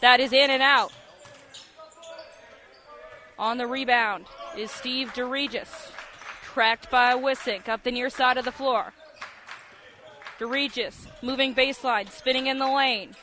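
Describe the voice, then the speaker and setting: A man with an extremely high, bright voice does live basketball radio play-by-play. He calls the action in clipped, descriptive phrases. Faint gym noise and bouncing balls sit behind him. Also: fairly even intonation, measured pace. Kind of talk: live sports radio commentary. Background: basketball game ambience, bouncing ball.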